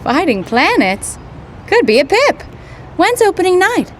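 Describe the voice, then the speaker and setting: An actress playing a plucky, wry young grad student with breezy, slangy period talk, in old-time radio drama style. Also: female voice, very high-pitched, sing-song, measured pace, a little hiss or hum, exaggerated voice. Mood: playful, intrigued.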